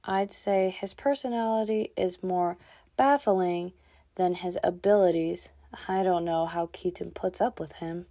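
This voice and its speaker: A woman's voice: on the low side, steady tone, measured pace, dark tone. A woman playing a young fox Pokemon in a comic dub, a sceptical, easily flustered trainee explorer with a dry, questioning manner. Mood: sceptical, puzzled. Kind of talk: animated comic dub acting.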